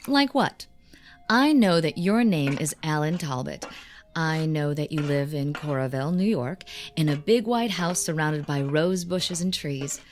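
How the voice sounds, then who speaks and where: A woman's voice: sits low, expressive, a little slow, soft background music. An actress playing a wry, teasing, confident bride-to-be in her thirties in a radio drama, with playful dry banter. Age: 30s. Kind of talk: radio drama acting, naturalistic dialogue.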